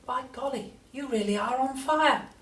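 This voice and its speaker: A performer playing a young boy in a storybook, high-pitched and eager. He is polite with a cheeky streak, heard in an echoey room a little away from the mic. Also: normal speed, a bit bright, recorded from a distance. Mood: cheerful, reassuring. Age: child.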